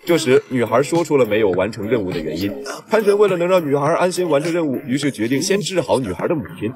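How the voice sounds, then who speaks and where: Male narrator, scripted film recap, quick pace, lively swinging intonation, mid pitch, background voices behind. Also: crowd noise.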